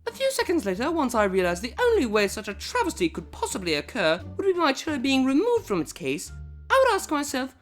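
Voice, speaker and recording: A prim, cultured female pony, played by a woman, speaks high and with sweeping intonation. She is formal and exact, with dry menace under the politeness, as in a comic audio drama over faint music. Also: wildly swooping pitch, brisk. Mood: icy composure. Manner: formal, precise, drily menacing. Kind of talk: scripted comedy fan audio drama.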